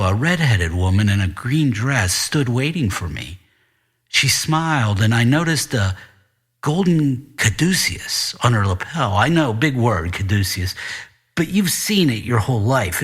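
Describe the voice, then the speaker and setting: A man narrates scripted noir fiction for a podcast. His voice is husky and medium in pitch, with slow pacing and very animated intonation. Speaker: author reading own fiction on a podcast.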